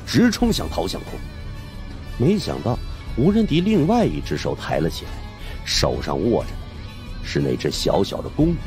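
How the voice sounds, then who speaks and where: A man's voice: low-pitched, darkish tone. A man narrating a supernatural suspense audiobook in a dramatic, scripted style.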